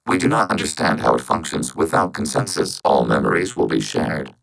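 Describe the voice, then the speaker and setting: An actor playing a calm, logical video game robot that speaks as a collective, with measured, emotionless, formal delivery and synthetic robotic processing. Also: male voice, a bass voice, creaky, heavily acted, rough recording. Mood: measured, detached. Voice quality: synthetic, machine-like.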